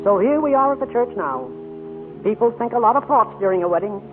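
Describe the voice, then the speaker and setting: A folksy small-town narrator who chats directly to the folks listening. His voice sits high for a man. The sound is a tinny old broadcast with clear music underneath. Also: lively intonation, a little fast. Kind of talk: 1940s radio drama narration.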